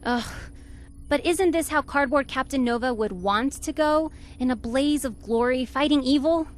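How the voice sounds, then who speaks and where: An actress playing a sensible, sardonic spaceship crew member with a dry, exasperated manner, in a 1950s-style space radio serial. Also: female voice, high voice, moderate pace, lightly acted.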